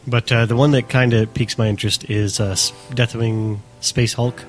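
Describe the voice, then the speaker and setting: A man's voice: medium pitch, flat delivery, moderate pace, soft background music. A man co-hosting a casual podcast, speaking in a dry, matter-of-fact way with frequent hesitations. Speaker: podcast co-host.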